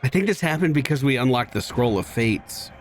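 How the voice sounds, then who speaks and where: A man's voice: medium pitch, expressive, a little fast, a little hiss or hum. A man giving casual, playful video game commentary, explaining things expressively as he plays. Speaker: video game player and host.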